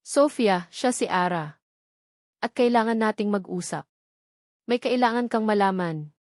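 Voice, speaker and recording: A woman's voice: mid-range voice, measured pace, a little mellow, no room sound, clean studio sound, close to an everyday voice. A woman with a Filipino accent voicing a serious man who placates his fiancée in a romance story, earnest in manner. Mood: serious, placating.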